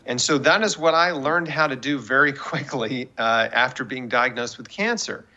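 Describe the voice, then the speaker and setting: A man in his forties with a bright, forceful voice of medium pitch. He talks like an earnest interview guest, emphatic and persuasive, offering encouragement rooted in faith. The audio is somewhat dull and lo-fi. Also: moderate pace. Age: 40s. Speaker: guest author and cancer survivor.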